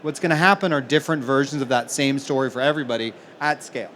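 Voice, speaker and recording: A man's voice: medium pitch, fast, fairly bright tone. A man from Manchester speaking as a panelist, making his case conversationally in a live discussion. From Manchester, England, now living in the US. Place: conference event panel. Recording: live panel with clear background noise.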